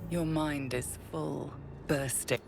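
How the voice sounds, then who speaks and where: A woman playing a grave, ominous storyteller, narrating a dark fantasy game in a hushed, deliberate, dramatic way. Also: low-pitched, fairly even intonation, gravelly, background noise, full character voice. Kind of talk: scripted second-person game narration.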